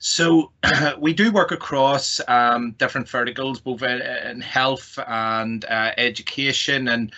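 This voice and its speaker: A man's voice: mid-range voice, pushed and bright. A man with a Northern Irish accent giving a semi-formal online presentation as a company representative. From Northern Ireland. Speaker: technology company executive, guest presenter. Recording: dull lo-fi online call audio.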